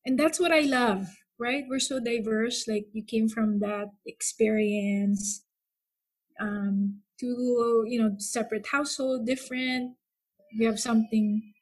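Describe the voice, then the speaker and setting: A woman, talking thoughtfully as a podcast host with many pauses. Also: fairly high pitch, fairly even intonation, low-quality recording. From Philippines. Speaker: psychotherapist. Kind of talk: casual podcast chat.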